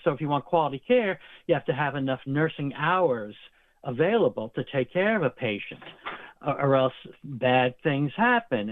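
Guy talking over a thin phone line, mid pitch, lots of uh and um, medical jargon. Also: dry recording. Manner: rambling, many fillers, uses medical terms. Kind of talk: explanatory conversation about healthcare. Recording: tinny phone-like line, dry.

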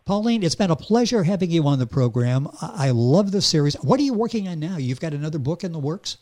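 A man's voice: a little high, expressive, quick pace, a little mellow, a little hiss or hum. A man hosting a radio program, interviewing a guest in a friendly, conversational way. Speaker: radio show host.

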